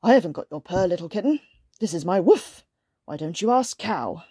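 A woman's voice: fairly low pitch, expressive, normal speed, mellow, slightly rough, dry recording, studio quality. A woman voicing a helpful, barking dog from a children's storybook. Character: woofing storybook dog, helpful family pet.

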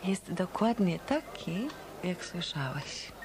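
An actress playing a self-assured, sardonic, tough nightclub singer in a hard-boiled radio play. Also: female voice, on the low side, very animated, slow pace, heavily acted. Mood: sardonic, cool. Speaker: radio drama actor.

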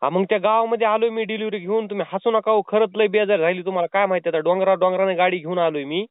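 A man's voice: sits high, fairly even intonation, fast, clear, resonant tone, no echo. A man making a prank phone call, posing as a delivery man, insistent and pleading. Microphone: phone line. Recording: tinny phone call recording.

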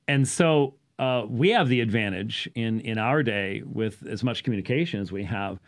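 A man gives an off-the-cuff explanatory talk as a show host, halting at times and leaning on key words. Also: lowish voice. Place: small indoor study with bookshelves. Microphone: studio microphone on boom arm, close.

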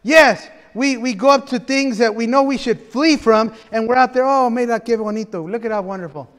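High-voiced man preaching in a reverberant church. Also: a little fast. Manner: animated, gesturing preacher with asides and playful humor. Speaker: pastor. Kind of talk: sermon. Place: large church sanctuary, preaching from a pulpit. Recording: long reverb of a church hall.

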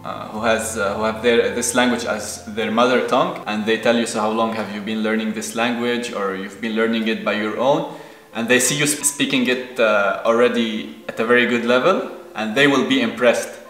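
Lebanese guy, arabic native, lowish voice, lots of uh and um, echoey room. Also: fairly even intonation, normal speed, fairly bright tone. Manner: thoughtful, with many hesitations. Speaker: guest. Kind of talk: interview answers. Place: indoor room on a sofa.

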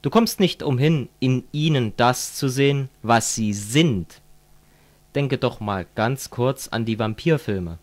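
A man's voice: mid-range voice, slow, lightly acted. A man playing a fervent communist who lectures a friend in a didactic, declamatory way, as in an amateur radio play. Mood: fervent, sardonic.

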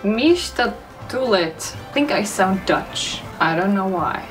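A woman's voice: medium pitch, expressive, recorded from a distance, music in the background, low-quality recording. Chatty German woman vlogging. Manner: chatty, self-mocking, sounds out words slowly. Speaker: YouTuber. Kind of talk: casual language-learning vlog. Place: bedroom, indoors. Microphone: studio mic off camera.

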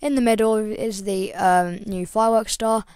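A young woman with a slightly croaky voice, hosting a casual game tutorial voice-over in a chatty, rambling way with lots of ums. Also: medium pitch, steady tone, moderate pace, a little mellow, dry recording, clean studio sound. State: croaky, voice breaking. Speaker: gaming channel host.

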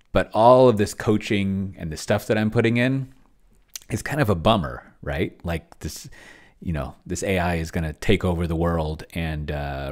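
Middle-aged male streamer, low voice, casual rambling commentary over a solo role-playing session. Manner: rambling, thinking aloud. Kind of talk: casual livestream commentary while typing. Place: small cluttered home room, indoors.

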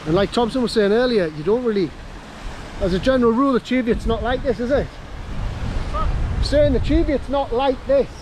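A man's voice: very high voice, normal speed, long pauses. A man with a North East English Geordie accent chatting casually as he vlogs a camping trip outdoors. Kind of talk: casual outdoor vlog chat. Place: outdoors on windy open hills. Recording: wind-buffeted outdoor camera audio.

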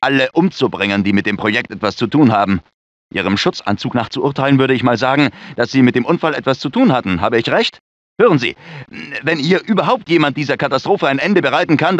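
A male actor plays a fretful research scientist in a sci-fi disaster game. He is earnest and theatrical, with a middle-pitched man's voice. The recording is close and dry but dull, like compressed game audio. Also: dry recording, natural voice. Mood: worried. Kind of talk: dramatic game character dialogue, German dub.

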